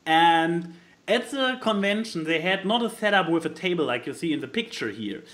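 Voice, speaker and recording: A man who is not a native English speaker, chatting casually as a podcast co-host and haltingly searching for words as he explains things. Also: a little high, expressive, fairly bright tone. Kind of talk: casual chat explaining a board game.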